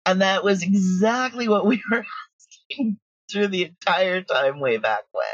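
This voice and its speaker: A woman speaks in a casual interview style at a middling pitch. She tells things like a storyteller, slipping into quoted asides. The recording is dry but dull and somewhat lo-fi. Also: animated, a little slow, dry recording. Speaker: audio drama creator, interview guest.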